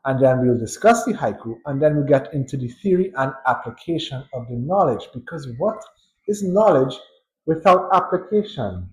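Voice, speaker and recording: A man with a Trinidadian accent, a psychologist giving a thoughtful, unhurried educational talk with pauses and light chuckles. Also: mid-range voice, expressive, low-quality recording. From Trinidad and Tobago. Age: adult.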